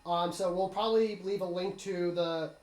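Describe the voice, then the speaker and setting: A man's voice: sits high, steady tone, moderate pace, a little mellow. A man hosting a casual game playthrough, explaining things directly to the viewers as he comments. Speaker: host of a game cutscene playthrough. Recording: roomy echo, music behind. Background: game music.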